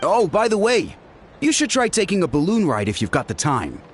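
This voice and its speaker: A man's voice: high-pitched, huge pitch swings, moderate pace, a little hiss or hum. A man playing an easygoing, contented young balloon operator in a video game, casual and earnest. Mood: friendly.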